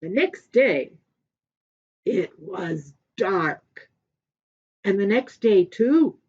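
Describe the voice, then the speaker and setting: Slow, expressive woman storyteller. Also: medium pitch, breathy edge, muffled recording. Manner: expressive, deliberate pauses. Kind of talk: animated children's story reading.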